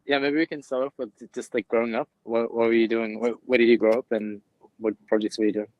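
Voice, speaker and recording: A man's voice: mid-range voice, little pitch movement, quick pace, dry recording, low-quality recording. A young man from Brussels hosting an interview podcast, talking casually with lots of fillers, recorded on earbud headphones. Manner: casual, filler-heavy, friendly questions. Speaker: podcast host. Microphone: earbud microphone. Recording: dry close earbud mic.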